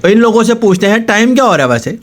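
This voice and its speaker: A man's voice: high-pitched, animated, rapid-fire. Pakistani male host, slightly raised voice, jokey family banter, a bit of a sore throat. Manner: playful, teasing, addresses viewers as "janab". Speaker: channel host. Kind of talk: casual family vlog.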